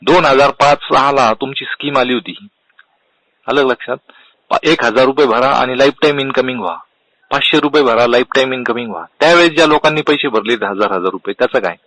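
Man, quick-fire delivery, bright forceful tone, argumentative customer on a call, insistent. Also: mid-range voice, no echo, rough recording. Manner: insistent, confrontational, presses with repeated questions. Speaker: customer calling a complaint line. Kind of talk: argumentative complaint phone call.

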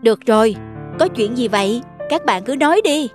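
A woman voicing a calm, kindly grown elephant in a cartoon, gentle and courteous. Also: fairly high pitch, normal speed, soft background music, natural voice. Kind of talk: cartoon dialogue acting.

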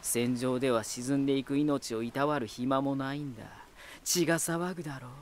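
An actor playing a proud, ominous lighthouse spirit hardened by war, deliberate, cryptic and condescending, in a dramatic voice drama. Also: male voice, medium pitch, fairly even intonation, slight background noise, close to an everyday voice. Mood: scornful. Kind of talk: dramatic Japanese voice drama acting.

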